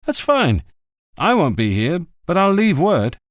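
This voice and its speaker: A man's voice: high-pitched, huge pitch swings, measured pace, dry recording, studio quality, voice pushed up, full character voice. A man reading an audiobook, voicing a brash male journalist who talks in an offhand way and swears casually. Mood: breezy. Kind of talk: audiobook character voice.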